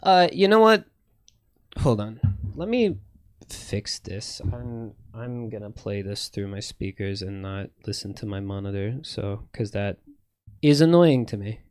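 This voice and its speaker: Slow, rambling man with a slight lisp. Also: lowish voice, sing-song, darkish tone, clean tone, no echo. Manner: rambling, profane, self-correcting. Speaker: streamer. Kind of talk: casual livestream chat.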